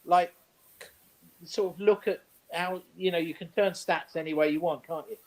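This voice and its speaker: A man with a noticeably high-pitched voice for a male speaker. He talks as a sports show panellist in casual, teasing banter. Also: fairly even intonation, moderate pace, poor audio.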